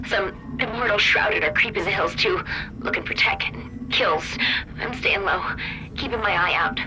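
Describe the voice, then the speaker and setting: A woman's voice: medium pitch, moderate pace, over music, natural voice, rough recording. An actress playing a twitchy, loyal female infiltrator in a video game, reporting in clipped phrases. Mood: wary. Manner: clipped, colloquial field-report delivery.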